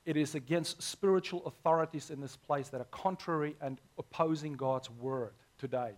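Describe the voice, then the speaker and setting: A man, hoarse this morning, preaching a sermon as pastor with earnest, emphatic delivery. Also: fairly high pitch, moderate pace.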